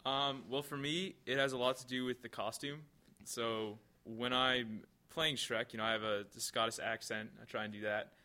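A man's voice: medium pitch, steady tone, normal speed, pushed and bright. A teenage boy earnestly answering interview questions about his stage role. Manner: earnest, with fillers. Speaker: student actor.

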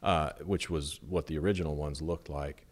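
Very deep-voiced middle-aged man. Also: fairly even intonation. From Las Vegas. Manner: measured, thoughtful, with many fillers. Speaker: architect, interview guest. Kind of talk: relaxed conversational interview.

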